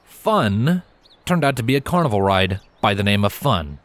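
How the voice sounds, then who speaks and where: A man reading fan fiction aloud as an expressive, scripted storyteller. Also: fairly low pitch, moderate pace, no room sound.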